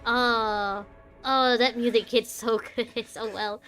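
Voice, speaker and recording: A woman's voice: fairly high pitch, fairly even intonation, takes it slowly, fairly bright tone. A woman streamer giving casual commentary on a story game and talking back to its characters.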